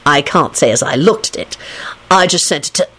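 A woman's voice: deep voice, normal speed, a bit bright. A woman voicing a frantic, sardonic adult male wizard in a storybook, swearing and pleading. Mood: polite, rueful. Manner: frantic, sweary, muttering or hollering.

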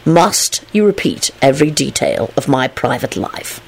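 A woman's voice: deep voice, huge pitch swings, brisk, gravelly, slightly rough, exaggerated voice, rough recording. A woman voicing a grumpy, petulant man in an audiobook, snapping and drawling his words. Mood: grouchy. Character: Lupin, grumpy petulant man, crabby in the morning.

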